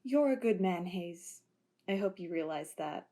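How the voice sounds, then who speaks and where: A woman's voice: lowish voice, expressive, unhurried, warm tone. A woman playing a sly policewoman who talks in a sly, teasing way in an amateur audio drama.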